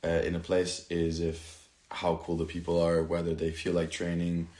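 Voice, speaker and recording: Deep, flat-voiced man in an echoey room. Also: normal speed. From Italy. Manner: hesitant, lots of fillers and restarts. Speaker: stunt performer, podcast guest. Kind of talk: casual interview chat. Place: at home.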